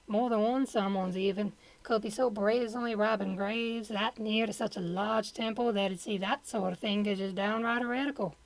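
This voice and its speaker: Woman acting a curious townswoman, mid pitch, questioning, chatty, bit sceptical, audio drama. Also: fairly even intonation, moderate pace, few pauses, natural voice, poor audio. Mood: puzzled. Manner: conversational, questioning.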